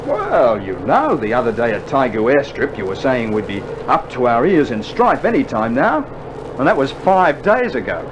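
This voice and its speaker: A man's voice: mid-range voice, huge pitch swings, moderate pace. An actor playing a cheeky, skeptical young Australian infantryman with dry sarcastic banter, in a scripted mid-century radio drama. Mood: mock innocent, sardonic. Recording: noisy old vinyl radio drama recording.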